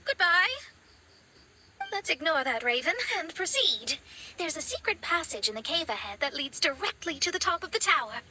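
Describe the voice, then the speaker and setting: A woman's voice: sits high, very animated, normal speed, noisy background. A woman voicing a proud, theatrical young female astrologer in an anime-style video game. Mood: brisk. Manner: haughty, theatrical. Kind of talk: anime game character acting.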